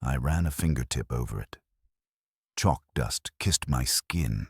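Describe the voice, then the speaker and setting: A man's voice: a bass voice, animated, a little slow, gravelly, slightly rough, no room sound, studio quality. A man reading a scripted first-person detective audiobook in a wry storytelling manner.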